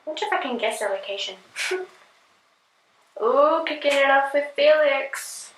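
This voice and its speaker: A woman hosting a casual reaction video, chatting and giggling, often filling pauses with "like". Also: high-pitched, expressive, a bit bright, roomy sound, a little hiss or hum, poor audio. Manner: chatty, giggly, filler-heavy.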